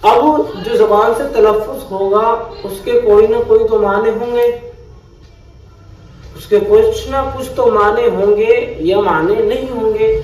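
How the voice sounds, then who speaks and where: A man's voice: sits high, steady tone, measured pace, a little mellow. A man teaching a beginner Arabic grammar lesson, patiently repeating each definition. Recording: echoey room heard from a distance.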